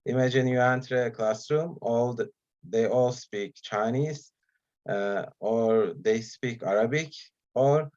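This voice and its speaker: A man whose first language is Turkish gives an online talk as guest speaker, pausing often with fillers between phrases. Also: medium pitch, a little mellow, lo-fi sound. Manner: hesitant, many pauses and fillers.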